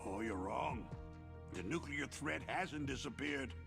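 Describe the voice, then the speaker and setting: An actor playing a weary, cynical arms-industry boss in a video game cutscene, explaining himself in a halting, emphatic way. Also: male voice, mid-range voice, lively intonation, gravelly, over music. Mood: grave, lecturing. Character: weary male arms-industry boss, tortured, stammering, cynical, self-justifying.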